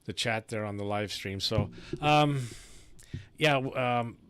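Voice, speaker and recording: A man hosting a casual amateur radio chat, talking technical shop in a halting, rambling way into a close studio microphone. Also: mid-range voice, fairly bright tone, clear, resonant tone, dry recording. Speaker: amateur radio operator and live-stream host. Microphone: close studio broadcast microphone.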